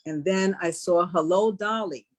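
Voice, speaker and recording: An American woman giving measured, deliberate answers as a guest in a casual interview. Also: on the low side. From New York. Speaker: stage play cast member as guest.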